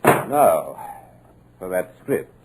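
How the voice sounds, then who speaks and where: An actor playing a menacing, domineering producer in a 1940s radio drama, speaking in a brusque, imperious manner. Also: male voice, deep voice, very animated, drawn-out words, heavy background noise, exaggerated voice. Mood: coldly insistent.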